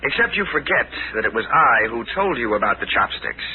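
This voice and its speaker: A man's voice: mid-range voice, big pitch swings, a little fast, narrow, tinny audio. An actor playing a young, hard-drinking playboy, smug and sardonic, needling his rival in 1940s radio style. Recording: thin, hissy 1940s radio recording.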